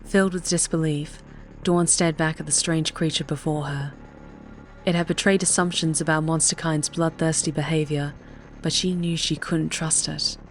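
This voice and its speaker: Woman, audiobook narrator, low pitch, fairly even intonation, dramatic scripted storytelling, soft music bed. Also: normal speed. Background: faint music.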